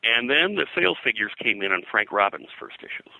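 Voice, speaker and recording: An older man talking as an interview guest, explaining things in detail with a halting, conversational delivery. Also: medium pitch, sing-song, gravelly, sharp tone, dry recording, rough recording. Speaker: interview guest, pop culture historian. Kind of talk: conversational interview, recollection and commentary.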